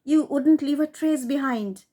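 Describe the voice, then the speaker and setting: A woman's voice: high voice, unhurried, mellow, pitched above the performer's own voice. A woman reading a story, voicing a scheming man who urges his brother toward a murder in an eager, insistent way. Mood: urgent. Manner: eager, urgent, insistent whispering.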